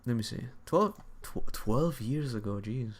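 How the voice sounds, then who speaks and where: A young man livestreaming a game, chatting casually in a halting, rambling way and often repeating his phrases. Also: medium pitch, lively intonation. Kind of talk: casual chat while gaming.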